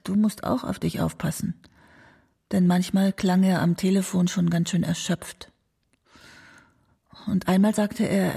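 An old mother reminisces in a radio drama. Her voice is very gravelly, husky and breathy, with a mellow tone. She sounds tender, wistful and worried, full of love for her son. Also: lowish voice, heavily acted. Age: older. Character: doting, worried old mother of a star singer. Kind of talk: documentary radio drama monologue.

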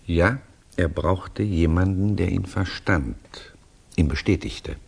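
An actor playing a reflective storyteller in a 1960s German radio play, narrating conversationally in a measured, wry, husky voice. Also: male voice, low-pitched, full character voice. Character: reflective male storyteller recounting to a friend.

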